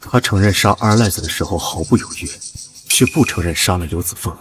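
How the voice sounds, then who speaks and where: A man playing a shrewd, composed investigator in a drama, speaking with deliberate, authoritative reasoning. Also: deep voice, fairly bright tone. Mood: pensive. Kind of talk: dramatic audio drama acting.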